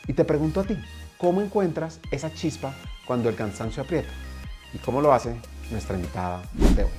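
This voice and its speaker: A man hosting a podcast, reading a measured, reflective scripted introduction with emphasis. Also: mid-range voice. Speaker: podcast host. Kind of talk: scripted narration. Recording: soft music underneath. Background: faint music.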